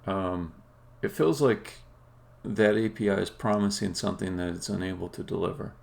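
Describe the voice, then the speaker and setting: A man who is a game developer, talking casually and haltingly as he thinks aloud during a live coding stream. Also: low-pitched, creaky, darkish tone.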